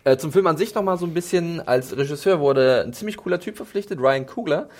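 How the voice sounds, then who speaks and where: A man's voice: a little high, animated, a little fast. A man hosting a casual film podcast, speaking in a hesitant way with many fillers and restarts. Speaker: podcast host.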